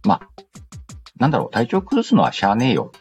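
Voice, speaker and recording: A man's voice: mid-range voice, wildly swooping pitch, a little fast, a little mellow, breathy edge. A man hosting a casual radio-style show, chatting and reading listener letters in a playful, slangy way with self-interrupting asides. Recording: dull lo-fi sound with music behind. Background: background music.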